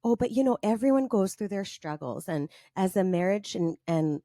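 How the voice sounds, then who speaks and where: A woman's voice: medium pitch, expressive, normal speed, warm tone, dry recording, studio quality, natural voice. A woman voicing Cheryl, a gushing, scattered middle-aged mother from Minnesota with a Minnesota accent, on a comedy podcast. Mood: chirpy. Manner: effusive, fawning, scattered.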